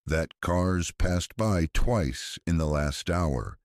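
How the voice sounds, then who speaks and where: A man voicing an earnest, guarded researcher, a woman in her mid-fifties, speaking quietly and seriously in an audio drama. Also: deep voice, animated, slow pace, no room sound, heavily acted. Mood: quiet, probing.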